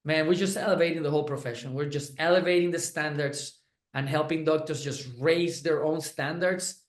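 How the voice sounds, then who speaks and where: A man's voice: on the high side, steady tone, normal speed, reverberant. A man with a Puerto Rican Spanish accent, a chiropractor and clinic owner, giving an effusive testimonial and often restarting his words. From Puerto Rico. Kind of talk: enthusiastic testimonial chat.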